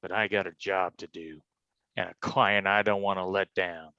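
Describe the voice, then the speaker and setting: A man playing a brash, bright-voiced private eye. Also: deep voice, fairly even intonation, normal speed, clear, resonant tone, no echo, highs cut off. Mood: cocky, determined. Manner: slangy, folksy tough-guy talk peppered with "huh?". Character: wisecracking, stubborn male private detective, loyal and brash. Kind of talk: hardboiled detective stage acting.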